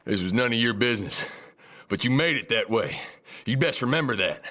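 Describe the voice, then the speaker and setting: An actor playing a burly, hot-tempered frontier bully with a defiant American Western drawl in a dramatized audiobook. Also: male voice, medium pitch, animated, a little fast, sharp tone. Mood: sullen threat.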